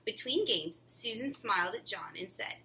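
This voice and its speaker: A woman narrates a children's storybook in a bright, forceful voice. She sounds some way from the microphone, with faint noise around her. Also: medium pitch, animated, normal speed, recorded from a distance. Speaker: teacher reading a storybook aloud.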